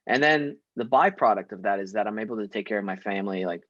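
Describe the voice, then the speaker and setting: A man's voice: lowish voice, fast, fairly bright tone, no echo, lo-fi sound. A young man born in Syria, a guest actor talking casually and emphatically in an interview, laughing often.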